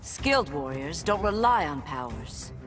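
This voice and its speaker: A woman's voice: deep voice, big pitch swings, measured pace. An actress playing a stern, righteous warrior woman with a firm, threatening self-assurance. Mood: stern, defiant. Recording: music behind the voice.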